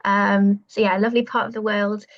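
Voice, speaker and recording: Young woman, podcast guest, chatty with lots of fillers, monotone, dull lo-fi audio. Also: medium pitch, brisk. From Pembrokeshire, West Wales. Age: young adult. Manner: chatty, full of "um", "like" and "kind of". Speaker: guest, university bird society president. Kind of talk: podcast interview.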